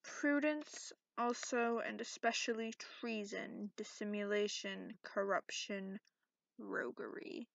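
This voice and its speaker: A woman voicing a cranky, sarcastic, centuries-old dragon in a soft-spoken ASMR roleplay, haughty and grudging. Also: medium pitch, fairly even intonation, slow, creaky, dry recording. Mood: dry, sardonic. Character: cranky sarcastic centuries-old dragon who looks young, reading tarot. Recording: dull lo-fi microphone sound.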